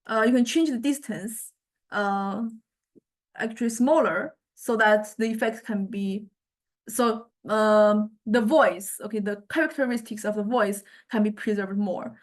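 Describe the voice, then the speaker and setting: A woman lecturer giving an informal software demonstration, thinking aloud in a halting way as she goes. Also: medium pitch. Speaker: music lecturer.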